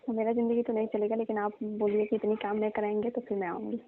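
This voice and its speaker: A woman's voice: mid-range voice, flat delivery, fast talker, lightly acted. A woman playing a tired, complaining young daughter-in-law pleading in a staged, conversational phone call. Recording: dull, band-limited phone call recording.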